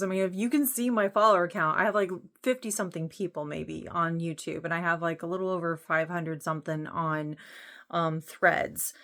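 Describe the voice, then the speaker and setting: Lower-pitched woman talking candidly. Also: fairly bright tone. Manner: earnest, rambling, informal. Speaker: vlogger introducing own channel. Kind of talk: casual, candid talk to camera.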